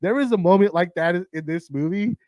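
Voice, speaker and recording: A man hosting a movie countdown, his voice raspy and tired, rambling casually with lots of swearing, fillers and restarts. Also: high-pitched, fairly quick, darkish tone, dry recording. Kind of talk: casual rambling film talk.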